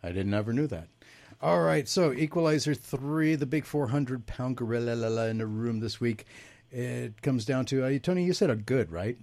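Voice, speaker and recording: A man's voice: mid-range voice, lively intonation, creaky, darkish tone. Man hosting a casual movie review show. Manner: runs the rating round, quick asides. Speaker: podcast host.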